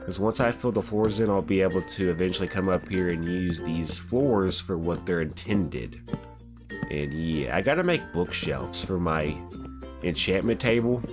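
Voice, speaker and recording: A man's voice: sits low, animated, moderate pace. A young man with a stuffy, cold-sick voice, rambling casually through gameplay commentary and often saying "bro". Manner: laid-back, rambling, says "bro" often. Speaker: gaming channel host. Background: background music.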